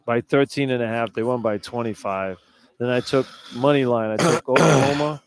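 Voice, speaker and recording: A man's voice: medium pitch, moderate pace. A man working as a color analyst on sports radio, talking in a conversational style.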